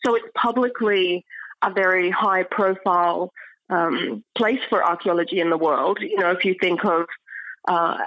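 Middle-aged female scholar as interview guest: lowish voice, measured and articulate, dry but slightly muffled recording. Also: fairly even intonation, dry recording. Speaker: interview guest, archaeology professor. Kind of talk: thoughtful academic interview talk.

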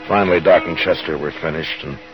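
An American man playing a steady, authoritative Old West marshal in a 1950s radio western, terse and plain-spoken. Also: rumbling low, fast talker, over music, poor audio. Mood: grim narration. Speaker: lead actor and narrator.

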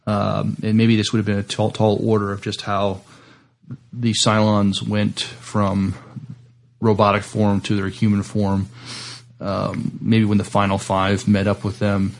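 A man co-hosting a podcast, giving casual opinions and news in a hesitant, halting delivery. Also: fairly low pitch, creaky. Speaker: podcast co-host. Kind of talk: casual discussion and reading of sci-fi news.

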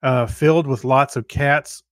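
A man co-hosting a podcast in casual chat, speaking in a halting, easygoing way. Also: mid-range voice, steady tone, no echo, studio quality. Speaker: podcast co-host. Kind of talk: casual podcast chat, reading listener feedback.

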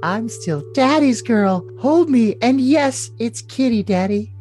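A man's voice: very high-pitched, expressive, takes it slowly, darkish tone, faint music behind, heavily acted. A man playing a girlish, clingy young woman in a cutesy, overly dramatic reading of text messages. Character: girlish, clingy, babyish young woman texting her boyfriend.

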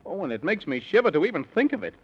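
A man's voice: high-pitched, big pitch swings, fast, vocal fry, slightly rough. A man narrating a children's serial in 1930s radio announcer style. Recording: dull, muffled 1930s radio recording.

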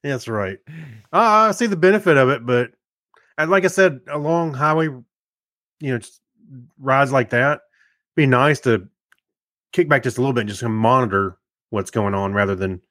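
A man's voice: medium pitch, normal speed, pauses often, a bit bright, dry recording. A man in easy podcast banter who wanders through his points and laughs often. His voice sits in a mid range. The recording is dry and close. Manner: rambling, laughs often, restarts. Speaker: podcast co-host. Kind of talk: casual banter.

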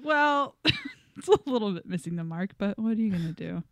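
A woman with a mid-range voice co-hosts a podcast in a relaxed chat format. She reads out listener feedback and comments on it informally and jokingly. The recording is very dry. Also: medium pitch, normal speed, no room sound. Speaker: podcast co-host.

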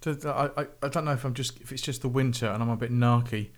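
A man's voice: mid-range voice, steady tone, fast. A man hosting a podcast in a casual, rambling way. He has a slight cold and sore throat, and he grumbles and mocks himself as he chats. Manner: chatty, rambling and grumbly with self-mocking asides. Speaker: podcast host. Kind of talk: casual podcast monologue introducing music.

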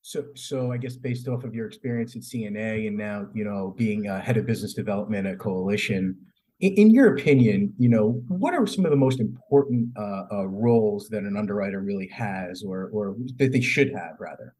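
A man with a slightly husky voice hosting a podcast, introducing the show and putting questions to a guest. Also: lowish voice, expressive, brisk, a little mellow, low-quality recording. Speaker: podcast host and interviewer.

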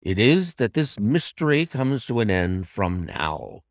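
A man's voice: lowish voice, very animated, measured pace, dry recording, clean studio sound. A man playing a troubled, suspicious husband who speaks formally and insistently in an audiobook mystery. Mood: firm. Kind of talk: dramatized audiobook reading of a classic mystery story.

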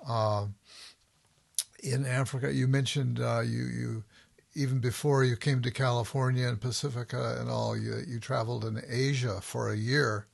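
Gravelly-voiced man hosting a thoughtful interview. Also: mid-range voice, steady tone. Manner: thoughtful, halting with restarts. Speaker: podcast host. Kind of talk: conversational interview.

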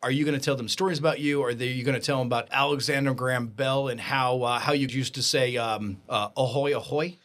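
Bright, energetic man hosting radio. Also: mid-range voice, a little fast, few pauses. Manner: energetic, emphatic. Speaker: radio show host. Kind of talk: upbeat financial radio talk.

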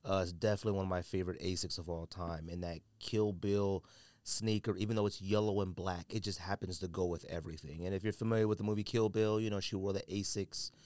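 Low-voiced American man, casual podcast host. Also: a little mellow, no echo. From California, United States. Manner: informal, slangy, conversational. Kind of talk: casual solo podcast chat about sneakers.

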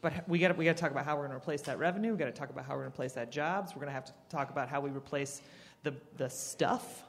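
A panelist from Texas speaks bluntly and casually in a panel discussion. The voice sits at a middle pitch. Long hall reverb trails each phrase. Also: racing, creaky.

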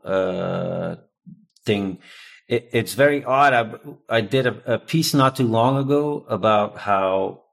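A man from Belgium, a native Dutch speaker, answering interview questions in a measured, thoughtful way with frequent fillers and restarts. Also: on the low side. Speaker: localization executive, guest.

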